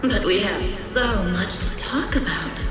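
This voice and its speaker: Echoing, slow, taunting female phantom. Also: medium pitch, lively intonation. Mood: taunting, cold. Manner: taunting, deliberate, coolly accusing. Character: menacing, taunting female phantom from the heroine's past. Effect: echoing reverb. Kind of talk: dramatic video game villain acting. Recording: heavy echo, distant, music behind.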